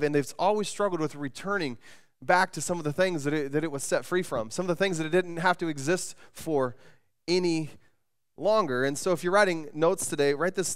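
Smooth-voiced pastor, chatty and halting. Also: male voice, fairly high pitch. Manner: conversational, lots of repeated words and restarts. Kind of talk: sermon. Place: church stage with band gear, indoors.

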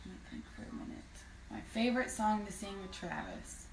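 A woman's voice: mid-range voice, fairly quick, gravelly, soft background music. A young woman chatting casually on a live stream from a small room, easygoing and chiming in with short additions. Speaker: singing duo member. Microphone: phone camera at a distance. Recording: echoey room, from a distance.

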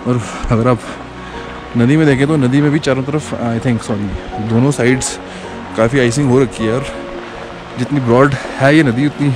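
A man's voice: mid-range voice, fairly even intonation, normal speed, rough recording. A man in his thirties vlogging while walking outdoors through a snowy mountain valley, chatting casually into a camera he holds close and mixing English into his talk. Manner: chatty, mixes English into speech, reflective at times. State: out of breath from walking at altitude. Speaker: travel vlogger. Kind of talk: casual travel vlog narration. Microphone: action camera held close. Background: ambient music.

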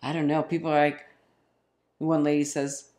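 A woman in her forties, a bit raspy from a cold, chatting casually with ums on a home vlog from her couch. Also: low-pitched, moderate pace. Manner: relaxed, chatty storyteller with ums. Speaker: vlog co-host. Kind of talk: casual chat. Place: living room, on a couch. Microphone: camera in front.

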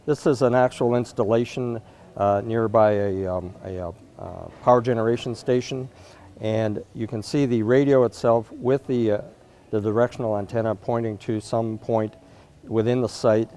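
A man's voice: fairly low pitch, normal speed, dark tone. A man in his fifties demonstrating equipment in an unscripted, explanatory way with frequent hesitations. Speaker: product marketer demonstrating equipment. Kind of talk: unscripted product demonstration and interview answers. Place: indoor trade event interview spot.

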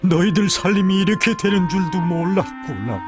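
Casting: anxious old father for cartoon folk tale, North Korean accent, lamenting, very animated pitch, music underneath. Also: moderate pace. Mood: worried. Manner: sighing, exclaiming, lamenting. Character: elderly worried father of three grown sons. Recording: music behind the voice.

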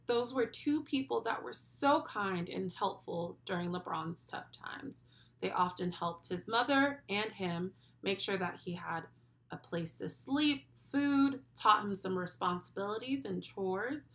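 A young woman hosting a children's lesson, speaking directly to the child with animated, encouraging praise. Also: mid-range voice. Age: young adult. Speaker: children's read-along host and school speech therapist. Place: small furnished room at home, indoors.

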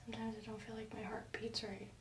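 A woman's voice: fairly high pitch, level tone, fast talker. A young woman speaking as a patient, casually and hesitantly describing her symptoms. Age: young adult. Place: small carpeted treatment room. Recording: roomy sound with background hiss.

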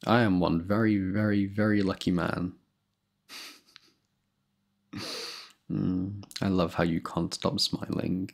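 A young man speaks close to the mic in a low, gravelly voice that sits deep in his chest. He talks slowly and playfully, teasing and laughing softly, warm and affectionate like a boyfriend at bedtime. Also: level tone. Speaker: boyfriend roleplay performer. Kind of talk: ASMR boyfriend roleplay.

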